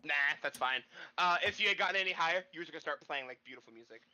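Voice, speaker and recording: A man's voice: on the high side, very fast, sharp tone. A man running a tabletop game as game master, improvising narration and chatting casually with the players. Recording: dull lo-fi voice-chat mic.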